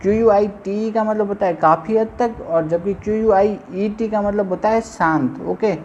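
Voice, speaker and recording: Female tutor, mellow, repetitive drill style, spells letter by letter; muffled recording with faint background noise. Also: mid-range voice, normal speed. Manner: spells words letter by letter, asks and answers own questions. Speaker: English teacher. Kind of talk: exam-prep vocabulary lesson. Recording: dull lo-fi sound with faint noise.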